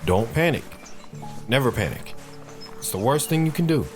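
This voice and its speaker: A man's voice: medium pitch, sing-song, normal speed, lightly acted. An actor playing a protective soldier father who talks patiently and earnestly to his daughter in an audio drama. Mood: earnest, grave. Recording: music behind the voice.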